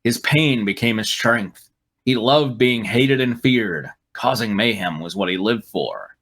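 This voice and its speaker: Man reading a story with lively pitch. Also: measured pace. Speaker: reader on a fan fiction comedy show. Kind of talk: story read aloud with mocking asides.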